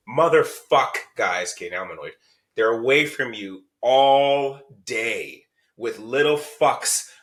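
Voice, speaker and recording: A man's voice: mid-range voice, a bit bright. A man co-hosting a podcast, talking bluntly and heatedly, swearing freely as a parent giving advice. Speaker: podcast co-host. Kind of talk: candid podcast discussion.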